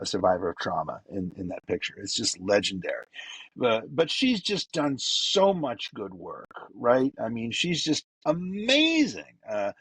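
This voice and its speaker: A man hosting a fan podcast, giving casual, effusive birthday shout-outs, rambling with lots of fillers. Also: lowish voice, husky, dry recording, low-quality recording. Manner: effusive and enthusiastic, loose with fillers. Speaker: podcast host.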